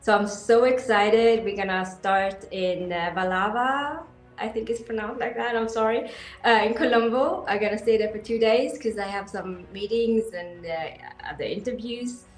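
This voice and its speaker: A woman in her thirties who grew up in Sweden, talking eagerly in an interview with frequent ums and pauses. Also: medium pitch, reverberant, soft background music. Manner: enthusiastic, hesitant with many fillers. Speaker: interview guest, equestrian athlete. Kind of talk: casual interview answers.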